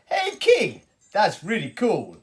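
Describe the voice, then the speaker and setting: A woman's voice: medium pitch, wildly swooping pitch, slow. A woman voicing a tiny, cheeky male fool in a children's rhyme, bouncy and boastful. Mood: cheeky boasting.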